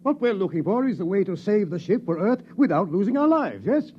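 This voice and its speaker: A man's voice: high-pitched, very animated, brisk. An actor playing a pompous, blustering old colonel in a 1950s radio drama, fussy and hesitant in his phrasing. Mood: blustering, earnest. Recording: muffled, hissy 1950s radio recording.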